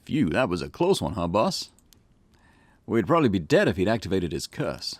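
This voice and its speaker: A man's voice: sits low, very animated, normal speed, dry recording. A man voicing an eager, anxious young police sidekick in a visual novel. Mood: concerned. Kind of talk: visual novel dialogue read aloud.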